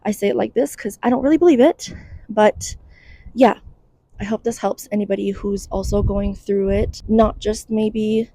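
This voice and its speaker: A woman's voice: mid-range voice, fairly even intonation, normal speed, vocal fry, warm tone, dry recording. A young woman of Iranian background giving casual, candid mental health advice in a chatty, wry way. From Iranian diaspora.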